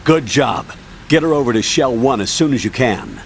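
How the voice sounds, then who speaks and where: A man playing a brisk, authoritative military colonel briefing in a video game. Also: medium pitch, animated, creaky, slight background noise. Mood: instructive.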